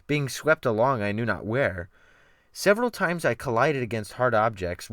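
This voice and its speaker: A man performs dramatic literary narration at a medium pitch with lively, wide intonation. His phrasing is deliberate and theatrical, recorded close and dry. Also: no echo. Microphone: close USB desktop microphone.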